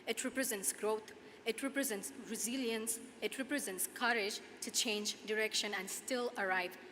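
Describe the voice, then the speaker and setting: A woman's voice: fairly high pitch, steady tone, normal speed, fairly bright tone. Young woman w/ nepali accent doing a grad speech, earnest, echoey hall, some noise behind her. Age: 20s. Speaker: student commencement speaker. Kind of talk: prepared commencement speech. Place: large hall at a podium, audience ambience.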